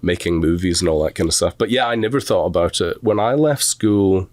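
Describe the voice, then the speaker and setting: Scottish man, middle-aged, low voice, self-deprecating storyteller, dry close recording. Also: brisk, a little mellow, no room sound. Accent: Scottish, softened toward American. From Scotland. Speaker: animation instructor. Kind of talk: relaxed conversational interview.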